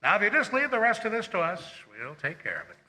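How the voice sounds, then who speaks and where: An actor playing a busy, dismissive police officer in a radio drama, impatient and patronizing. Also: male voice, sits high, wildly swooping pitch, quick pace, pushed and bright, full character voice. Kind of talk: theatrical radio drama acting. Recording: reverberant theatre hall, slight noise.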